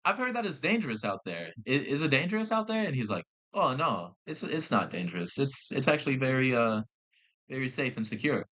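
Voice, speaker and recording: Laughing Southern guy, bright voice. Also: mid-range voice, fairly quick. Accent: American Southern. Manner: animated, swears often, laughs a lot, acts out quotes. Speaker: podcast co-host; fast-food worker. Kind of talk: casual chat, storytelling.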